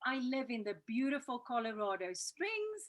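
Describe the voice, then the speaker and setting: A woman's voice: a little high, very animated, moderate pace. A South African woman hosting an online business training over a video call in an earnest, motivational manner. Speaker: training host.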